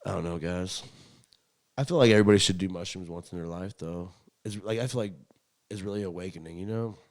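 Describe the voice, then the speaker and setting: A man's voice: sits low, quick pace. A young man chatting casually as a podcast host, telling rambling stories in slangy, profane talk. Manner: slangy, profane, animated when acting out quotes. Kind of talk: casual rambling storytelling chat.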